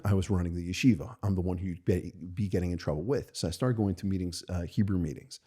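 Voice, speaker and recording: An American man, a rabbi, telling stories from his own life in a candid, halting way, often checking in with "right?". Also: low-pitched, warm tone, slightly rough. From United States. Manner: thoughtful and halting, many restarts and "right?" tags. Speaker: podcast guest, rabbi. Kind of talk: candid conversational storytelling.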